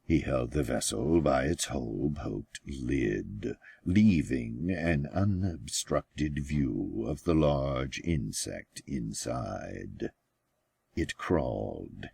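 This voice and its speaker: A man with a gravelly, husky voice reading his own story as an author, in deliberate, measured audiobook narration with pauses. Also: very deep voice, darkish tone, no echo. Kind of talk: slow scripted audiobook narration.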